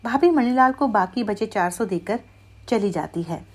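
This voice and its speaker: A woman's voice: mid-range voice, animated, normal speed, slightly rough. A woman narrating a scripted moral story for children as its storyteller.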